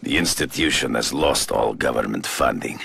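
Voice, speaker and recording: Proud game villain, hunter, rock-bottom pitch, heavy vocal fry, grandiose formal speech. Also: male voice, expressive, moderate pace, sharp tone, slight background noise, exaggerated voice. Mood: grave, compliant. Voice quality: gravelly. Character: proud, menacing male hunter villain obsessed with honor. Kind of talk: theatrical video game villain acting.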